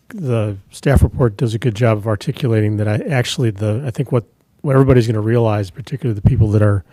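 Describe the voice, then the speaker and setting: A man with a low voice, a board member giving measured, hesitant comments during deliberation at a public meeting, speaking into a desk microphone. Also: dark tone, no room sound. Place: council meeting room.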